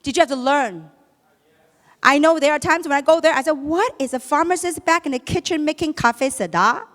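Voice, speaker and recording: Female seminar speaker, Chinese accent, high voice, emphatic question-and-answer delivery, reverberant hall. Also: animated, a little fast, a bit bright. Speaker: motivational business speaker. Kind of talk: motivational sales seminar talk to an audience. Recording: echoey hall, long reverb.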